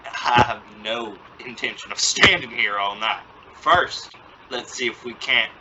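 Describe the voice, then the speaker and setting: A man's voice: medium pitch, a little slow, bright, forceful tone. A man playing an exasperated, sarcastic middle-aged father in an old-time radio sitcom, flustered and bluffing confidence. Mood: firm, annoyed. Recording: dull, noisy lo-fi radio play recording.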